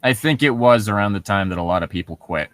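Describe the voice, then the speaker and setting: A man co-hosting, reading internet horror stories aloud with casual commentary in a halting, self-correcting manner. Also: fairly low pitch, animated, a bit bright, no echo, clean studio sound.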